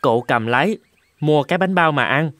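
A man's voice: a little high, lively intonation, a little fast, fairly bright tone, no room sound. A man voicing a tired, kind young servant in a children's cartoon, speaking in a weary way.

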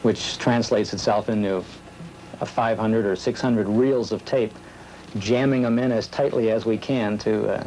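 A man's voice: on the low side, steady tone, a little slow, warm tone, a little hiss or hum, poor audio. An American man in his thirties talking in an interview as a collector, recorded on old videotape. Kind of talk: interview talk. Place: indoor room with tape decks.

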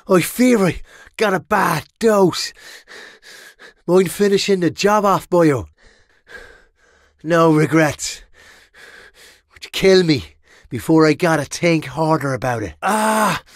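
A man's voice: fairly high pitch, no room sound, clean studio sound. A man with an Irish accent playing a brash, money-minded medieval mercenary in a video game, roguish and theatrical. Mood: weary, begging death. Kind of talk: game combat barks.

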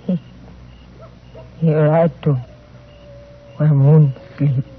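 Fearful, stubborn frontier wife, very slow broken English, tinny old radio recording. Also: male voice, fairly high pitch, level tone, over music. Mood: reluctant, sad. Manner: halting broken English, terse. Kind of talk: old-time radio western acting.

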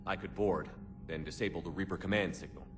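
A man voicing a calm, deep-voiced commander. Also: a little fast, over music, close to an everyday voice. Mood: steady. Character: male military commander, steady and decisive. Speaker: starship commander. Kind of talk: video game cutscene acting.

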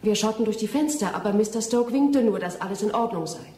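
Radio drama young lady actress, mellow, composed narrator of testimony, echoey. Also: medium pitch, animated, fast talker, natural voice. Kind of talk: German radio drama acting. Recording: echoing courtroom-like room.

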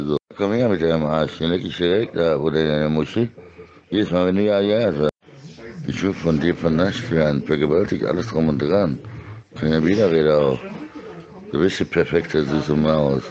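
Very deep-voiced middle-aged man, rambling. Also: darkish tone, dull audio. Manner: repetitive, insistent, disjointed. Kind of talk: casual, rambling monologue.

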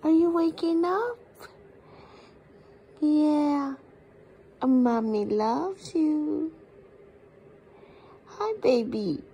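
A young woman, a mother, narrating a casual family vlog at home into a close-held phone, softly cooing to her baby. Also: sits high, drawn-out words, long pauses, muffled recording. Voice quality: soft, cooing baby talk. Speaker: vlogger mother. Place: home living room. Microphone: phone camera held close.

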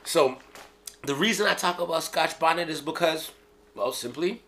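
A Jamaican man in his thirties casually explaining his own rap lyrics in a small room, playful and slangy, sometimes dropping to a hush. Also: fairly high pitch, normal speed, slight background noise. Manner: playful, slangy, drops into hushed asides. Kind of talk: casual solo video commentary. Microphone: studio mic on stand.

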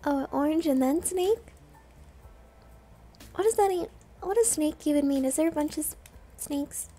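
A woman's voice: high-pitched, normal speed, dark tone. A woman streamer giving casual, playful and self-deprecating commentary while she plays a video game.

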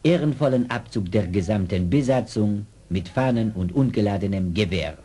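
Casting: stiffly formal French officer envoy, low and mellow, ceremonious, for a radio play. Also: male voice, lively intonation, normal speed, husky. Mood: ceremonious, courteous. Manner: ceremonious, polite. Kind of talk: 1970s German audio drama acting.

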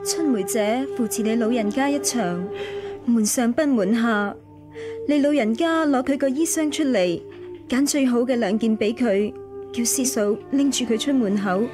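An actress with a Hong Kong Cantonese accent playing a kind-hearted, sensible maidservant in a radio drama. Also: female voice, a little high, close to an everyday voice.

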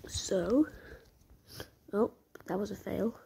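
A woman with a croaky voice, chatting in half-whispers while commenting on a mobile game she plays. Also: medium pitch, takes it slowly, warm tone, a little hiss or hum. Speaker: gameplay commentator.

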